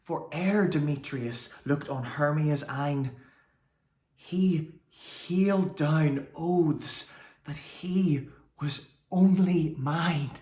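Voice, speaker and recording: Man acting a lovelorn, slow-speaking Shakespearean young man. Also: on the high side, darkish tone, echoey room, pitched above the performer's own voice. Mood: bitter, rising anguish. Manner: deliberate, drawn-out delivery with weighted pauses. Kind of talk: Shakespearean verse monologue.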